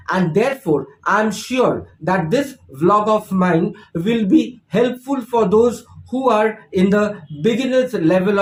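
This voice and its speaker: A man with an Indian English accent gives a slow, deliberate spoken English lesson, asking rhetorical questions as he explains. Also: high-pitched. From India (Kolkata). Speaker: English teacher. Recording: echoey room.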